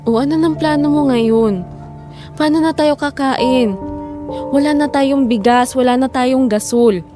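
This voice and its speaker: High-pitched, weary, frustrated wife played by a woman. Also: unhurried, music in the background. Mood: frustrated, desperate. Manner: emotional, pleading and reproachful. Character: weary, frustrated wife and mother in hard times. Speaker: voice actor. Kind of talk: Filipino audio drama acting.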